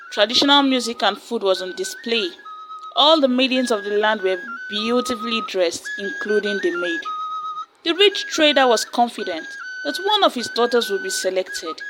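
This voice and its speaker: A woman narrating a folktale in a steady, plain storytelling manner. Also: a little high, low-quality recording. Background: soft music.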